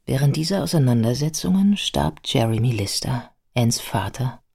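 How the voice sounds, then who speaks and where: A man playing a sober biographer who narrates a historical life in a measured, factual way for a radio drama. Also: mid-range voice, vocal fry, darkish tone, slightly rough.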